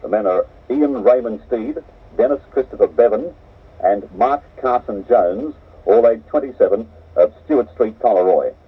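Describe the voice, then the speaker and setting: An Australian man delivers a formal news bulletin at a measured, even pace. His voice is slightly husky and sits a little back from the mic. It comes through a muffled, dull cassette copy of an old radio broadcast. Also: mid-range voice, recorded from a distance. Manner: measured, steady, crisp delivery. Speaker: radio newsreader.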